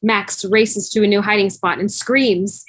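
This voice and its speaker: A woman's voice: medium pitch, fairly even intonation, measured pace, a bit bright, slightly rough, rough recording. A woman reading scripted stage directions as narrator of a fan table read over a video call. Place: remote video call, music behind.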